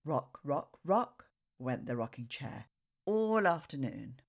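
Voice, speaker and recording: A woman reading a children's story aloud with expressive, dramatic phrasing. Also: rumbling low, takes it slowly.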